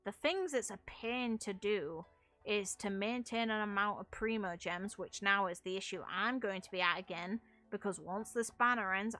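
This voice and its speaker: Female gaming host, bright forward voice, casual and chatty, music underneath. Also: medium pitch. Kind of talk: casual gameplay commentary. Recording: music playing behind the voice. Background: background music.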